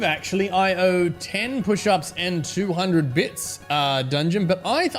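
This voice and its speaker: A man with an Australian accent and a slightly hoarse voice, chatting casually to viewers on a live game stream and greeting them by name. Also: fairly high pitch. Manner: chatty, greets viewers by name, fretting over technical trouble.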